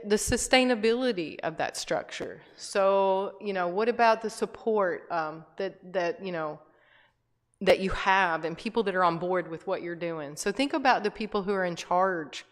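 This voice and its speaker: A middle-aged woman giving a conversational talk to a church group, with many fillers and restarts. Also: on the low side. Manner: conversational, frequent fillers and restarts, laughs lightly. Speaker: guest speaker. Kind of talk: informal talk to a church audience. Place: church hall.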